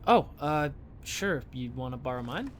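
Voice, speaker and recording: A man's voice: medium pitch, sing-song, moderate pace, slight background noise, natural voice. A man in an audio drama playing an awkward, timid young man from a small town, hesitant and apologetic. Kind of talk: naturalistic audio drama acting.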